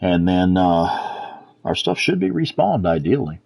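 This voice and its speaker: A man's voice: deep voice, big pitch swings, measured pace, vocal fry, darkish tone. A man with a Texas accent giving laid-back, chatty live commentary over gameplay as a streamer, answering chat and swearing casually. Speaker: gaming streamer.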